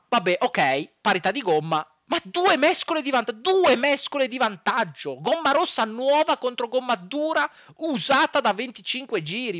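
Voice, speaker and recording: A man's voice: very high-pitched, pushed and bright, no echo, lo-fi sound. A man delivers heated, exasperated, foul-mouthed commentary to camera, hammering home points by repeating phrases. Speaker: sports content creator. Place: small dim room at home.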